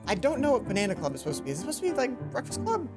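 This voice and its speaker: A woman's voice: fairly high pitch, wildly swooping pitch, very fast. A woman doing a let's play, reading a visual novel's story aloud dramatically and dropping in casual asides about her own slips. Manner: acts out dialogue, stumbles and comments on own slips. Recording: music under the voice. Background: game music.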